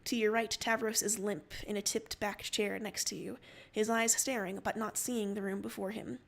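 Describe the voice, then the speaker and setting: A woman's voice: mid-range voice, fairly even intonation, moderate pace, creaky. Woman reading a story aloud. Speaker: narrator and co-reader on a story livestream. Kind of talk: dramatic story reading in second person.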